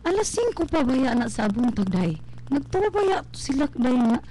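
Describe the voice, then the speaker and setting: A woman's voice: sits high, sing-song, measured pace, mellow, slight background noise, poor audio. A woman playing a gossipy, loyal housemaid with a Cebuano accent, chatty and singsong, in a radio drama. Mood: curious, chatty. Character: gossipy, loyal housemaid confidante of young mistress.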